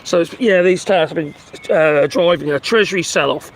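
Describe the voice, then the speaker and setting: Male streamer, voice fading and hoarse, halting news chat with plenty of uhs and restarts, slightly raised pitch. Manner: rambling, halting, many fillers and restarts; talks to his pets between remarks. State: losing his voice. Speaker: livestream host reading and commenting on news. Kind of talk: casual live commentary on news. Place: at home with animals nearby.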